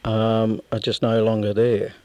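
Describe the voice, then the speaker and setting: A man conducting an informal arts radio interview, speaking haltingly as he feels for his questions. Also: on the low side, completely flat delivery, warm tone, smooth, no echo. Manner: hesitant, halting, feeling for questions.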